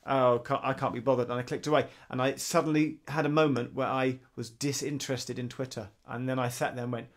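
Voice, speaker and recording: A man's voice: medium pitch, fairly quick, hardly any pauses. A man, co-hosting a casual tech podcast, telling stories and acting out his own thoughts. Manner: animated storyteller, acts out his own thoughts. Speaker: podcast co-host. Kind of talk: casual chat.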